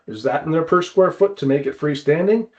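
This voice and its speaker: A man's voice: fairly high pitch, fairly quick, a little mellow, highs cut off. A man speaking as a trade expert guest in a casual interview, explaining practical details and often repeating words as he goes. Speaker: guest, climbing wall builder and consultant.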